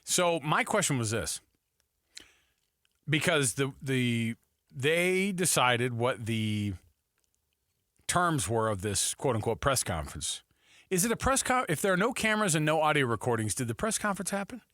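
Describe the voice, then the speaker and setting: Animated American sports radio guy. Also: medium pitch, normal speed, no room sound, clean studio sound. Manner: animated, emphatic, conversational. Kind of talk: sports talk radio banter.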